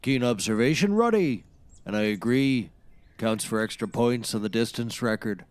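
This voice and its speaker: An actor playing a folksy, dryly sarcastic backwoods man in a scripted comedy, with deadpan delivery. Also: medium pitch, moderate pace, dry recording, close to an everyday voice. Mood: wry. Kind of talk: scripted audio comedy acting.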